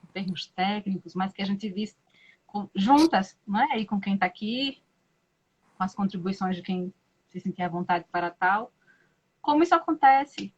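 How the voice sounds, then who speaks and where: A Brazilian woman hosting a live-stream interview, speaking slowly and thoughtfully with many hesitations and tag questions. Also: mid-range voice, animated, husky. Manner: slow, thoughtful, frequent "né?" and hesitations. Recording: muffled lo-fi live-stream audio.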